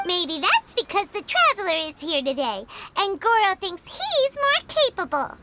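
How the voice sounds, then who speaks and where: Tiny chirpy game sidekick girl, squeaky and very high, bouncing all over, loud music behind. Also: wildly swooping pitch, measured pace, slight background noise, heavily acted. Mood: excited, curious. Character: tiny floating girl companion, chirpy and excitable.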